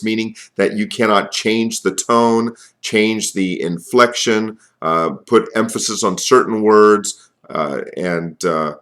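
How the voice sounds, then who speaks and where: A man giving an informal instructional talk as an open-air preaching coach, speaking earnestly and with emphasis, pausing between phrases. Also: low voice, fairly bright tone.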